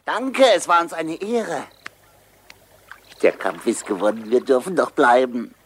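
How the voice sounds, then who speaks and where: An actor voicing a solemn adult animal who gives advice in an animated series, with noise behind. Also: mid-range voice, measured pace.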